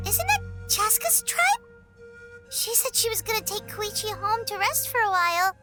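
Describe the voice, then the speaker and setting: A woman voicing a small, excitable, loyal childlike fairy companion in a video game, with a squeaky, earnest delivery. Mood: shocked. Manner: refers to self in third person, earnest. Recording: loud game music behind.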